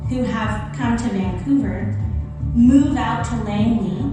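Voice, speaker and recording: A woman's voice: on the high side, slow pace. A woman who grew up in Guinea gives an earnest, reflective testimony as a church planter in a ministry video. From Guinea, West Africa. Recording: video played in a very echoey hall, distant, with music.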